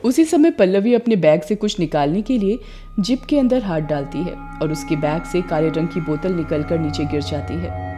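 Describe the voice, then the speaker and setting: A woman narrates an animated witch story in a scripted storytelling style. Her voice is on the low side, with prominent music behind it. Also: moderate pace.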